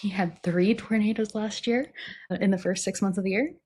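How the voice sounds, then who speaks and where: A young woman co-hosting a casual podcast in a relaxed, plainspoken way, on a studio mic indoors. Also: mid-range voice, brisk, slightly rough. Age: young adult. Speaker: podcast co-host. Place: indoor room with patterned wallpaper. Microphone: studio mic on stand, wearing headphones.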